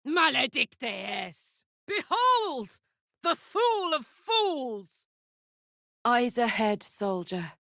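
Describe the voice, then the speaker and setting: A woman's voice: high voice, big pitch swings, extremely slow, gravelly, bright, forceful tone, no echo, studio quality, heavily acted. An actress with a British accent playing a brash, big-hearted, battle-hardened warrior woman in a fantasy video game, blunt and earthy in manner. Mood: spellcasting, loud.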